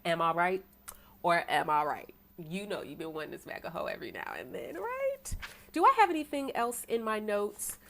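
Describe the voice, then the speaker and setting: Casting: American woman, tarot reader, deep voice, preaching tone, rhetorical questions, quick bursts. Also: big pitch swings, a bit bright, a little hiss or hum. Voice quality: self-described deep voice. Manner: preachy, rhetorical, asks "right?" often, bursts of fast talk. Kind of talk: tarot sermon to an online audience.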